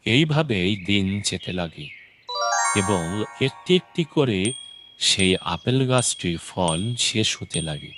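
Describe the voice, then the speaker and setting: Slow-paced male children's story narrator. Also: deep voice, big pitch swings, music in the background.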